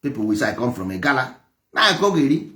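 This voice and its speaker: Animated Igbo Nigerian man preaching emphatically. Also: mid-range voice, quick pace. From Nigeria, Igboland. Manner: emphatic, repeats phrases, rhetorical questions. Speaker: traditional religion teacher. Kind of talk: impassioned preaching and lecturing.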